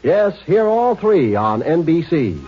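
A man's voice: a little high, sing-song, slow pace. A man reading an upbeat network promo. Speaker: network announcer. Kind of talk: radio promo. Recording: dull old radio recording.

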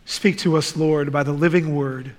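A man who grew up in the Netherlands, preaching a sermon and reading scripture in a slow, deliberate way. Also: a little high, fairly even intonation. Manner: slow, deliberate, measured delivery. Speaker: guest preacher. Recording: reverberant church hall.

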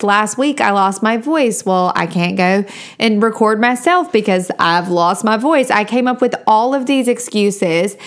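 A woman, hosting a podcast in a chatty, upbeat monologue that speaks straight to her listeners. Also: medium pitch. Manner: chatty, upbeat, addresses listeners as you guys. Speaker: podcast host.

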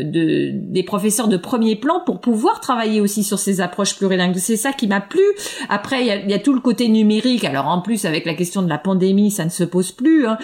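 A woman speaking as an education researcher in an interview. She talks hesitantly, with frequent fillers and repeated words. Her voice sits in a middle pitch. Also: darkish tone. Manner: hesitant, many fillers and restarts. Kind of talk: interview answers.

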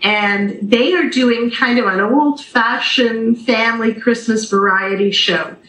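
A woman's voice: fairly high pitch, slow pace, fairly bright tone. A Canadian woman answering interview questions conversationally and enthusiastically over a video call, as a theatre director and radio guest. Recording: roomy video call, faint noise.

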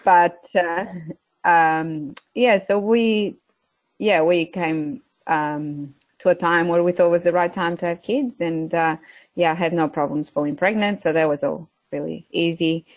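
A woman in her thirties speaks with a Portuguese accent in a fairly low voice, recorded dry and close. She chats casually as an interview guest, pausing often with fillers. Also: steady tone, no echo. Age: 30s. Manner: hesitant, many fillers, laughs between phrases. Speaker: guest, beef farmer.

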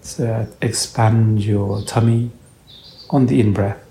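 Slow, low-voiced man guiding meditation. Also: fairly even intonation, vocal fry. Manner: soothing, gentle instruction in short phrases. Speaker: meditation guide. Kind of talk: guided meditation narration. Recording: roomy echo, faint background noise.

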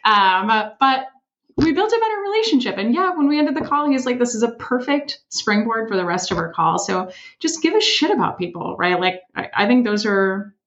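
A woman from Switzerland talks casually about sales and leadership, animated and quick to laugh. She acts out quoted lines with jumps in her pitch. Her recording sounds dull and lo-fi. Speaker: sales leader, podcast co-host. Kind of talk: casual panel chat.

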